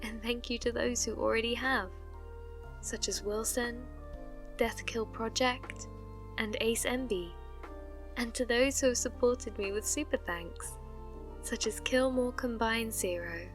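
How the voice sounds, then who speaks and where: A woman's voice: on the high side, steady tone, a little slow, faint music behind. A woman who makes ASMR roleplays, giving a scripted outro to her listeners.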